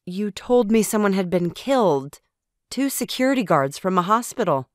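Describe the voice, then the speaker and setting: A man voicing a calm woman friend who speaks in a gentle, steady way. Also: high voice, moderate pace, no echo, studio quality, pitched above the performer's own voice.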